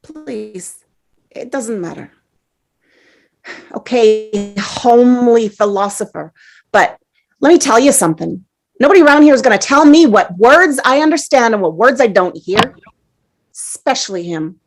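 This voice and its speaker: A hot-tempered, bullying juror from a stage drama, played by a woman. The voice is fairly high and swings widely in pitch. The delivery is indignant, emphatic and full of sarcasm. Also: animated, a little slow, pauses often. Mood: scornful. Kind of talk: amateur table read of a stage drama.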